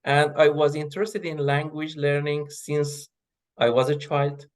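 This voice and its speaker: A middle-aged man whose first language is Persian speaks in English as an interview guest. He answers in measured steps, stopping often on fillers and starting words over before finishing a thought. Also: on the high side, steady tone. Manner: hesitant, many fillers and restarts. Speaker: guest, associate professor of linguistics.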